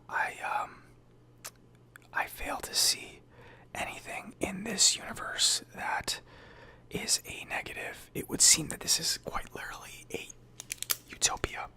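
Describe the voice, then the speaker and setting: A man softly whispering an ASMR sci-fi journal roleplay in a breathy, hesitant, thoughtful way, close to the microphone. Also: a little high, sing-song, takes it slowly, long pauses. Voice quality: breathy whisper and soft speech. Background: computer keyboard typing.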